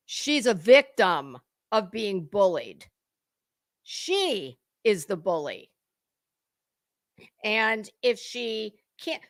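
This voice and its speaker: A woman's voice: a little high, a bit bright. A woman giving an unscripted commentary rant to her viewers, blunt, sweary and confrontational, leaning hard on emphatic words. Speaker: online commentary creator.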